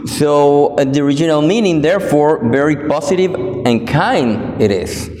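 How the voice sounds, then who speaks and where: A man from Guatemala whose first language is Spanish, speaking with a Guatemalan Spanish accent as he delivers a sermon, pausing often with fillers and restarts. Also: a little high, lively intonation, a little mellow. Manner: halting, many fillers and restarts. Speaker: preacher. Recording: echoey hall with long reverb.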